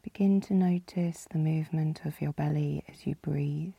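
A woman leading a guided yoga nidra relaxation as the guide, calm and soothing, with a soft, breathy, husky voice. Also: sits low, moderate pace, dry recording. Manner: calm, soothing, gently instructing.

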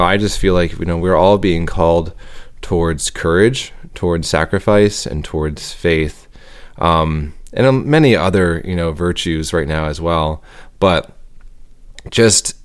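A young man co-hosting a faith podcast, talking in an earnest, reflective way as he searches for his words. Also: low-pitched. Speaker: podcast co-host and storyteller. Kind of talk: casual podcast chat.

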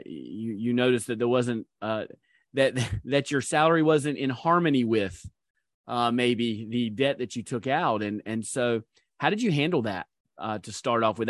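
A man's voice: mid-range voice, dry recording. Man, podcast co-host, rambling delivery full of fillers, dry close sound. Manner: rambling, many fillers and restarts. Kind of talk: casual podcast chat.

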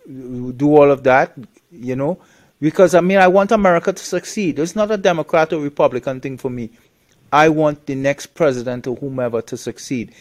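Man from trinidad being interviewed, blunt, lots of um and restarts, mid-pitched voice. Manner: blunt, halting with many restarts. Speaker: guest. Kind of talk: casual interview talk.

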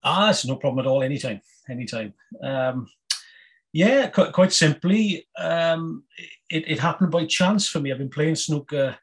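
A Welsh man in his fifties, a guest on a podcast, talking casually with many pauses, fillers and restarts. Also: on the high side, animated. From Wales. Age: 50s. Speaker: guest, snooker player and federation chairman.

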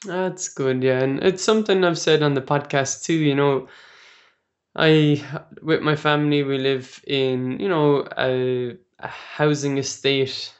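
An Irish man hosting a podcast, asking questions in a relaxed, unhurried way with frequent hesitations. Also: fairly high pitch, muffled recording. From Ireland. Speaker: podcast host. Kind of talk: casual interview chat.

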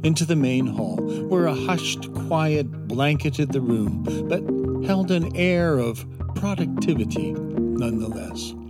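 Unhurried male storyteller over music. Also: on the high side, animated. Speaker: narrator. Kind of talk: scripted children's story narration.

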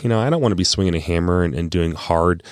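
Low-voiced man chatting casually. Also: fast, clear, resonant tone, dry recording, clean studio sound. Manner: chatty, informal, rambling. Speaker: investment adviser and show host. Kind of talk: casual monologue on a financial podcast.

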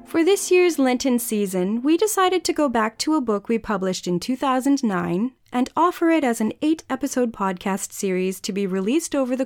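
A woman's voice: mid-range voice, darkish tone. A woman reading a scripted introduction as a podcast host.

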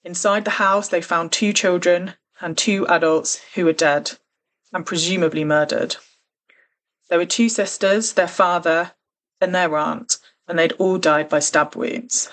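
A woman's voice: on the low side, steady tone, unhurried, a bit bright. A woman hosting a true crime podcast, talking in an earnest, careful and conversational way. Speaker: podcast host.

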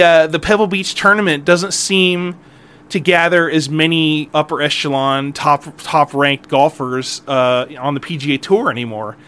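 Middle-aged male podcast host, slightly high-pitched, loose and rambling, jokey and self-deprecating. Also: fairly bright tone. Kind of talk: casual solo podcast chat.

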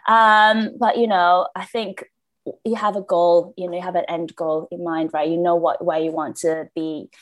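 A woman in her late twenties from China, a podcast guest chatting in an upbeat way, laughing often and filling pauses with "um". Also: lowish voice, level tone. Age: late 20s. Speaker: podcast guest, business founder. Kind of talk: casual interview chat.

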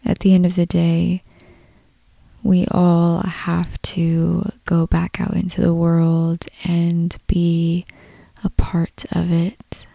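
Very slow, soft woman guiding meditation. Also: lowish voice, vocal fry, no echo. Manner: unhurried, calm, long pauses between short phrases. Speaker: guided meditation host. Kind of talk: slow guided meditation narration.

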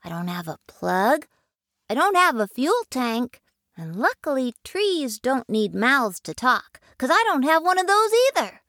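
Bright, bouncy little boy tree for a storybook, played by a woman, slow and sing-song. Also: high voice, sing-song, no echo, clean studio sound, voice pushed up, heavily acted. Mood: puzzled. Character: eager young boy tree, earnest schoolkid. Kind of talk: storybook character voice.

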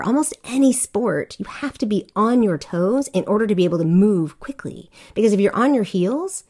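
A woman's voice: mid-range voice, darkish tone. A middle-aged woman from Korea hosting a solo podcast, talking candidly and conversationally with fillers and restarts. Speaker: podcast host.

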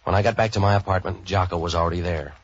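An actor playing a cynical, street-wise fixer with clipped, deadpan, wisecracking delivery in a 1940s radio noir style. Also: male voice, low voice, quick pace. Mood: brooding, cynical. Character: street-wise cynical hardboiled male private fixer narrating his case. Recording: dull old-time radio recording.

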